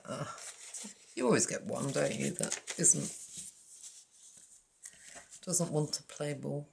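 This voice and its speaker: Low, gravelly woman chatting over her crafting. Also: lively intonation, slow, darkish tone, slight background noise. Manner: chatty, self-deprecating, murmuring while working. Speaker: craft tutorial host. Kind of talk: casual crafting tutorial. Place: indoors at a craft table. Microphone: overhead camera on the work, speaker off frame.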